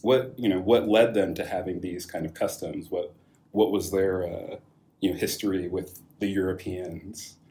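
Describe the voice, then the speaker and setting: A man's voice: deep voice, fairly even intonation, normal speed, mellow. A young man from California, answering interview questions in a casual, thoughtful way, with many fillers and restarts and light laughs. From California, USA. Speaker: guest, wine company founder.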